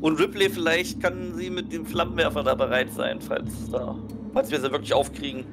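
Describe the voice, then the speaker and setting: A man's voice: a little high, steady tone, moderate pace, heavy background noise. A man taking part in a tabletop roleplay on a video call, chatting casually as a player. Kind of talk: casual game chat. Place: home room on video call. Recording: music under the voice.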